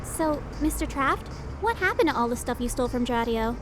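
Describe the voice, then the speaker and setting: A woman's voice: high voice, lively intonation, a little fast, slight background noise. An actress in an audio drama playing an eager, naive young rookie policewoman, bubbly and chatty, in a reverberant recording. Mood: helpful, bright. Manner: bubbly, chatty, wide-eyed.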